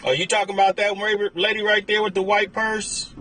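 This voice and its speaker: A man's voice: sits high, little pitch movement, a little fast, pushed and bright, slight background noise. A man live-streaming, pushing viewers to share and like while giving casual commentary on a street scene. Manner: hypes viewers, repeatedly urging shares and likes. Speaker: live streamer monitoring a police scanner.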